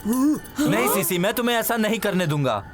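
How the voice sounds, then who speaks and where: A man's voice: sits high, very animated, moderate pace. A man dubbing a devoted, protective young prince in a Hindi cartoon, speaking with earnest urgency. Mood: worried. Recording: music behind the voice.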